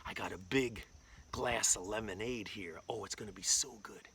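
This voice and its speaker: A man's voice: on the low side, moderate pace, creaky, pushed and bright, a little hiss or hum, voice pushed down. A man voicing a weary, easily upset prank victim in a children's story, with exaggerated whining and sighing.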